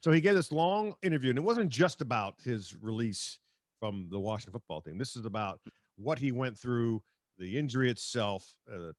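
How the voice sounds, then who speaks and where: A man hosting a sports talk show, speaking conversationally and haltingly as he comments and reads out quotes. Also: mid-range voice, dry recording, clean studio sound.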